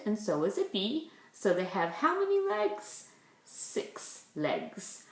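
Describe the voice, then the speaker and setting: A woman, a preschool teacher leading circle time and reading a picture book, asking simple questions and explaining words for small children. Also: mid-range voice. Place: indoors in a room at home. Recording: roomy, echoey home recording.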